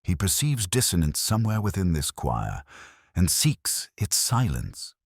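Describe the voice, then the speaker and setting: A man's voice: low-pitched, wildly swooping pitch, unhurried, husky, no echo, studio quality, voice pushed up, full character voice. A man voicing a mild, cryptic police inspector in a detective story, speaking in a formal, musing way. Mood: grave, musing.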